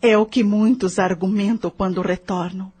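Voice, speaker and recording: Woman acting a patient, husky spirit mentor. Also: mid-range voice, fairly even intonation, a little mellow, natural voice. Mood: patient, instructive. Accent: Brazilian. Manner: measured, didactic, probing. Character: patient, moralizing female spirit mentor in the afterlife. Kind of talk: audio drama acting.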